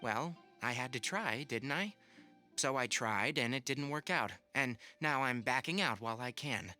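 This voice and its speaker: Frank young scholar in a video game, explains stuff clearly, lively pitch, bright voice. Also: male voice, moderate pace, faint music behind. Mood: wry, concerned. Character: level-headed young male scholar, frank and gently lecturing.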